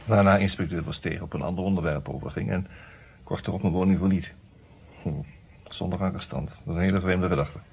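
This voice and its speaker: A man's voice: sits low, steady tone, fairly quick, vocal fry, husky, heavily acted. A man acting a polite lawyer who tells his own story in an old radio drama, in a wry, reflective and formal manner. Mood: wry, musing. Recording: muffled, band-limited old radio recording.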